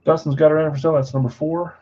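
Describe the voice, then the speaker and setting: A man's voice: steady tone, very fast, a little mellow, slightly rough, highs cut off. A man hosting a live trading card break stream, casually reading out buyers and spots in a matter-of-fact voice he calls boring. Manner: self-described boring voice, low-key and matter-of-fact.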